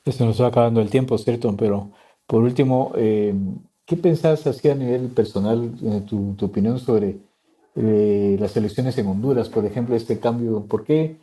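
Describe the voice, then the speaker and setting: A man's voice: medium pitch, normal speed, mellow, poor audio. A man hosting a podcast interview, putting questions to his guest and giving short affirming murmurs while the guest talks. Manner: brief affirming backchannels, asks questions. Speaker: podcast host. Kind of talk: conversational interview.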